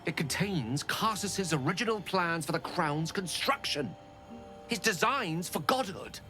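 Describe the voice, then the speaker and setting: An actor playing an eloquent, refined wizard in a video game, speaking articulately and theatrically. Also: male voice, sits high, expressive, unhurried, sharp tone, faint music behind, heavily acted. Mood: intrigued.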